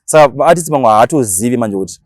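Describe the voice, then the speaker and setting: Very fast-talking Zimbabwean man, slangy and animated. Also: mid-range voice, dry recording, clean studio sound. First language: Shona. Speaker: interview guest, dancehall DJ. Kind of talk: casual interview talk mixing Shona and English.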